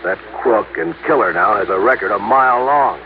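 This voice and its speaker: An actor playing a gruff, blunt American police lieutenant with colloquial speech in an old radio drama. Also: male voice, lowish voice, normal speed, close to an everyday voice. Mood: blunt, sure. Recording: tinny, noisy old radio recording.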